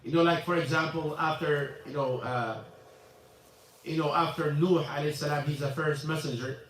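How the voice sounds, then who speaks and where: An imam with high voice, echoey hall. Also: male voice, fairly even intonation, moderate pace, a bit bright. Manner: non-native English with broken grammar, repeats himself. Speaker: imam teaching a class. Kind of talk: informal religious lesson. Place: mosque hall. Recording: echoey mosque hall, mic from far off.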